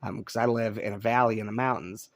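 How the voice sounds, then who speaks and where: A man from Colorado hosting a relaxed show-and-tell about his radio gear, easygoing and chatty with frequent ums, speaking indoors at home. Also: fairly low pitch, a little fast, vocal fry. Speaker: radio hobbyist channel host. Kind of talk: casual show-and-tell demonstration.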